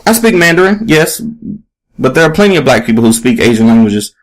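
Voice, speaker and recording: Man playing a guarded ex-champion boxer, medium pitch. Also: very animated, brisk, lightly acted, low-quality recording. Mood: evasive. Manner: matter-of-fact, evasive. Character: tall muscular retired male boxing champion, guarded, proud, amused.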